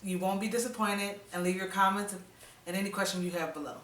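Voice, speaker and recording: A woman's voice: mid-range voice, fairly even intonation, a bit bright, distant mic. Woman host heard from across an echoey room. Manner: welcoming, leads the talk, quick agreeing replies. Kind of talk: casual chat.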